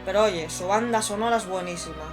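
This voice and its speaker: Female, Spain accent, low-set voice, scripted review narration, blunt and informal, faint music behind. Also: fast.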